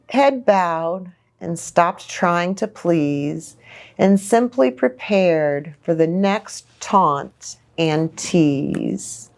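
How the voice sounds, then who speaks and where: Woman, children's storybook read-aloud, lively rise and fall, unhurried pace, sympathetic asides. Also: mid-range voice, vocal fry. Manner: expressive, simple sympathetic comments to young listeners. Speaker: pastor reading a storybook to children.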